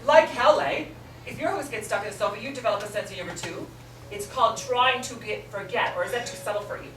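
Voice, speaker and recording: A woman's voice: medium pitch, fast, a bit bright, lightly acted. Woman acting as a bossy theatrical drag queen, sarcastic, echoey room, distant mic, noisy background. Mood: wry. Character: vain, sharp-tongued drag queen, adult. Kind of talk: stage drama acting.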